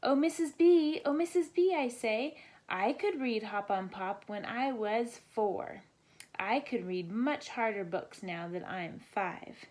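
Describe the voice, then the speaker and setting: Children's storybook part: woman as a competitive little girl, outspoken, raised pitch. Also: lively intonation, slow pace, darkish tone.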